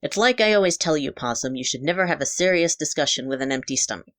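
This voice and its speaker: A woman's voice: low voice, animated, quick pace, no echo, studio quality. A woman voicing a middle-aged father in an audio story, guarded and wounded, earnest and apologetic. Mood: hesitant, conciliatory. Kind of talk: dramatic character dialogue in a narrated audio story.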